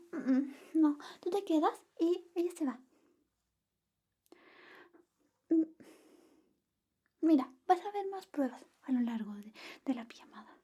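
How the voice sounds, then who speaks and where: Woman plays possessive best friend for ASMR roleplay: very high, mellow, whispered, cutesy and sulky. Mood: pouting, jealous. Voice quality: soft, often whispered. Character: jealous, possessive, pouting female best friend in love.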